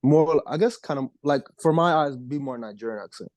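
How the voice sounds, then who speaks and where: A man's voice: medium pitch, fairly quick, darkish tone, no echo. A young American man chatting casually as a podcast co-host, rambling with lots of fillers. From United States. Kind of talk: casual chat.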